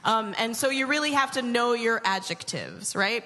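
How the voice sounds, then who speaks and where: A woman's voice: a little high, animated, normal speed, pushed and bright. A woman hosting a live variety show on stage in front of an audience. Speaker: radio show host.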